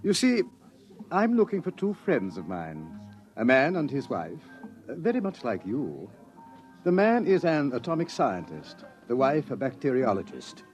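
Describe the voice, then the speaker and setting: A man's voice: medium pitch, wildly swooping pitch, unhurried, a little mellow, faint music behind. A man acting in a 1950s radio drama as a sly pursuer who toys with his prey in a mock-polite, insinuating way. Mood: sly, menacing.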